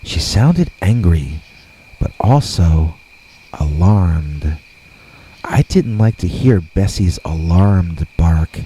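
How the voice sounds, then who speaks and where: A man narrating a scripted scary story deliberately, with dramatic pauses. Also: low-pitched, lively intonation, mellow, husky, poor audio. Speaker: host and narrator of scary stories.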